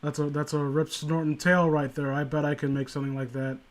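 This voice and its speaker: Male co-host, slightly higher register, casual comedic riffing, sardonic and profane, loose rambling delivery. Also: little pitch movement, fast talker. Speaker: podcast co-host reading and mocking amateur horror stories.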